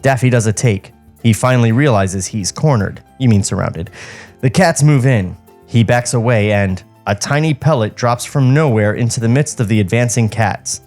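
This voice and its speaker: A man's voice: mid-range voice, moderate pace, darkish tone, soft background music. A man hosting a podcast, reading stage directions as comic narration and dropping in wry asides.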